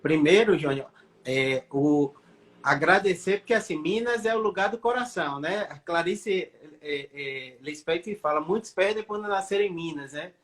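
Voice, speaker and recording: A man in his thirties from Salvador, guesting on an interview as a neurosurgeon. His voice sits quite high, and he speaks in friendly, loosely strung sentences. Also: moderate pace. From Salvador, Bahia, Brazil. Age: 30s. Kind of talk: casual interview answers.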